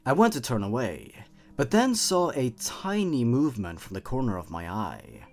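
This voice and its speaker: A man's voice: mid-range voice, huge pitch swings, moderate pace. A man narrating scripted horror stories in a suspenseful, theatrical way.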